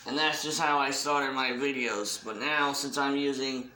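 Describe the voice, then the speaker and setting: A man giving a casual, unscripted shout-out announcement as a hobby video creator, talking in a halting, deliberate way. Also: medium pitch, fairly even intonation, roomy sound, a little hiss or hum, low-quality recording.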